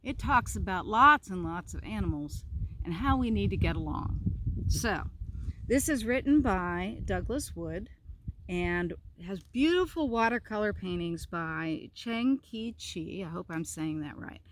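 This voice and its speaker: A woman with a bright, mid-pitched voice reads a picture book aloud outdoors. She phrases the story with expression and steps out of it for casual, chatty asides. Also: lively intonation, measured pace, a little hiss or hum, poor audio. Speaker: storyteller, pastor. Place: outdoors in a park.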